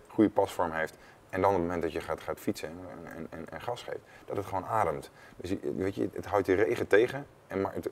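A man with a very low voice talks casually in a studio interview. He speaks haltingly, doubling back on words as he explains. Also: darkish tone. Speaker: former professional cyclist, studio guest. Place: indoor studio. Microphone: lapel mic.

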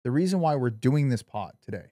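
A man with a middle-pitched voice talks politics in an informal, emphatic podcast style. He swears casually as he makes his points. The sound is dry, with little room in it. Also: lively intonation, brisk, warm tone, no room sound. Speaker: podcast co-host. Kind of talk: casual opinionated political chat.

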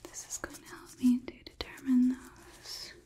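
A young woman playing a polite, clinical robot overlord in a gentle, methodical ASMR whisper, close to the microphone. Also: a little slow, natural voice. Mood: calm, clinical. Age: young adult. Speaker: ASMR performer.

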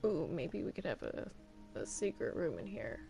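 A woman's voice: fairly low pitch, big pitch swings, moderate pace, gravelly. A woman chatting casually in roleplay while playing a game with friends, with music behind her. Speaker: gaming video creator playing with friends.

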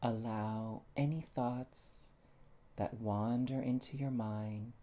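A meditation guide speaking gently and soothingly, with long pauses and soft, breathy phrase endings. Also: mid-range voice, fairly even intonation.

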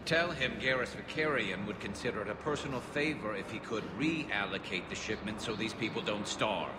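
A man's voice: on the low side, moderate pace, lightly acted. A man playing a firm, principled alien soldier in a video game. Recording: echoey, loud ambient noise behind.

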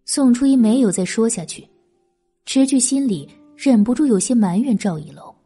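Female audiobook narrator for a historical novel. Mellow, husky, a touch high. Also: lively intonation, moderate pace, soft background music.

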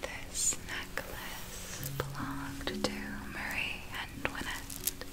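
An ancient vampire woman acted by a female performer whispers intimately in an ASMR roleplay, with clear music playing underneath. Her delivery is extremely slow and deliberate. She is soothing, seductive and doting, with a controlling, possessive edge. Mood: adoring, intimate. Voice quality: breathy whisper.

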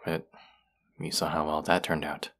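A man's voice: extremely low pitch, flat delivery, moderate pace, a bit bright. A young man acting as an earnest, wry young vampire in an intimate audio roleplay drama. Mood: remorseful, weary.

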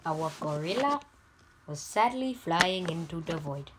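A gaming YouTuber with an Indian accent, giving deadpan, halting comedic commentary over mobile gameplay. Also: female voice, lowish voice, very noisy. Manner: deadpan, halting, joking persona.

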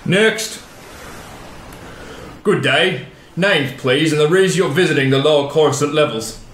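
An actor playing an officious but easygoing customs officer in an audio drama, with brisk, clipped questions. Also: male voice, on the high side, fairly bright tone. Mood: brisk, official. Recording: roomy with faint background noise.

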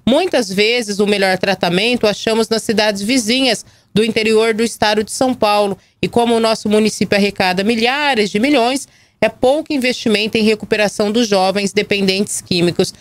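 A Brazilian woman in her thirties presenting live local news, her voice hoarse from a cold. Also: medium pitch, steady tone, brisk, no room sound. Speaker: TV and radio news anchor. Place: small indoor news studio. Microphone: gooseneck desk microphone.

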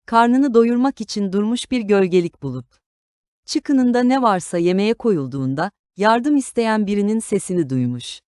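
A woman reading a scripted fairy tale for children as an expressive storyteller. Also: mid-range voice, smooth, no echo, clean studio sound.

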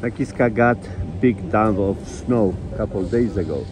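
A man with as a giving slow, enthusiastic commentary into a phone while filming outdoors at a ski hill and a mountain river. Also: on the low side. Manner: slow, enthusiastic, simple phrasing with pauses. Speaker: vlogger.

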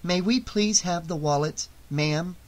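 A man's voice: high-pitched, unhurried. Casting: man as tough, irritable woman, clipped and controlled delivery, audiobook heroine under strain. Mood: cold restraint. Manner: curt, controlled through clenched teeth. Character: tense, hard-edged, short-tempered woman.

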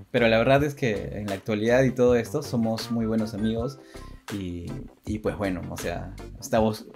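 Young man chatting hesitantly over faint music. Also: mid-range voice. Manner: hesitant, repeats phrases. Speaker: co-host of an online chat show. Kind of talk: casual chat to viewers.

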